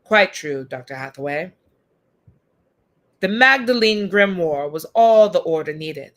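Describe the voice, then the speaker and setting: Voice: mid-range voice, animated, measured pace, fairly bright tone. A performer voicing a composed, sinister English master of an occult order, with a put-on British accent, in a story reading. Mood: grave, assured.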